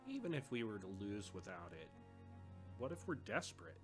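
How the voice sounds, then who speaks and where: An actor playing a pragmatic academy character in an audio drama, direct and instructional in delivery. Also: male voice, fairly low pitch, very animated, normal speed, over music. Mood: blunt, questioning.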